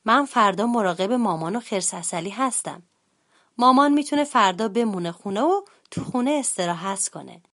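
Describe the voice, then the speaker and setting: A woman voicing a father bear in a children's story, speaking softly and reassuringly. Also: medium pitch, animated. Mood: soothing.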